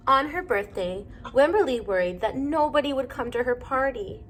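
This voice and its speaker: Animated, high-voiced woman reading to children. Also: normal speed, a little hiss or hum. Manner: animated, simple questions to children. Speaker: teacher reading aloud to children. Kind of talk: storybook read-aloud with asides to young children.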